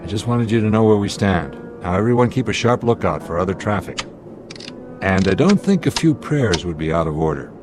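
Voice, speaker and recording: An actor playing a steady airline captain in a radio drama, speaking with measured authority and a wry edge. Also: male voice, on the low side, over music, close to an everyday voice. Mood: grave, decisive. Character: steady, commanding male airline captain under pressure.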